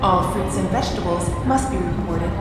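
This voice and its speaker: A woman's voice: on the low side, normal speed, close to an everyday voice. A woman voicing an impersonal automated spaceport announcer in an audio drama, giving formal public address messages. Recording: echoey hall reverb, loud music.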